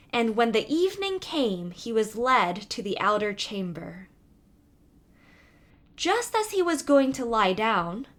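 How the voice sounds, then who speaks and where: A woman reading a fairy tale aloud as a storybook narrator. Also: on the high side.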